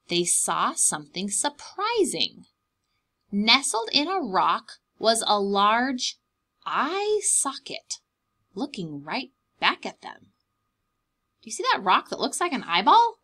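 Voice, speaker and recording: Young woman, preschool storytime reader, highly varied pitch, bright forceful tone, dramatic emphasis, simple asides for kids. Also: husky. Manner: animated, dramatic emphasis on key words, simple explanations for small children. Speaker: preschool teacher reading aloud. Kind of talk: expressive children's storybook read-aloud with explanatory asides.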